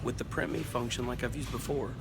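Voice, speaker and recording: A man's voice: mid-range voice, steady tone, fast, loud music behind the voice. A middle-aged man talking casually and folksily to camera as an upbeat family vlogger and volunteer pastor, rambling as he goes. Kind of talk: casual vlog talk to camera. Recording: clear car and street noise behind.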